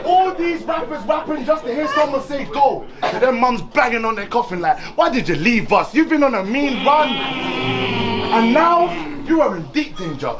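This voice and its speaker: A lively, high-voiced man mocking in rhymes. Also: moderate pace, over music, a crowd in the background. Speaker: battle rapper. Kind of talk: battle rap verses.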